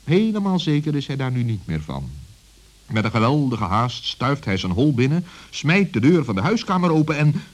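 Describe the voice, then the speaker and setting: Husky, animated male story narrator. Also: mid-range voice, moderate pace. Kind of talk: scripted children's story narration.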